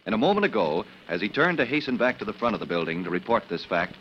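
A man's voice: lowish voice, big pitch swings, fast. An American man narrating as a stentorian, melodramatic announcer on an old-time radio show. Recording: muffled, noisy old radio recording.

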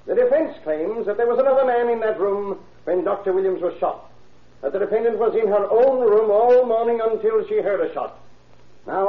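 An actor playing a stern, methodical prosecutor addressing a jury in a formal, deliberate, oratorical way in an old radio drama. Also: male voice, sits high. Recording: echoey, tinny old radio recording.

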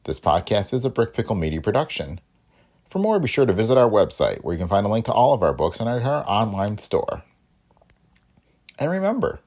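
Very deep, husky male podcast host. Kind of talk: scripted podcast introduction.

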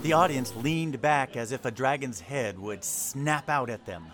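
A man's voice: mid-range voice, unhurried. A man narrating a scripted fantasy audio drama in the role of storyteller. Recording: clear music behind the voice. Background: music.

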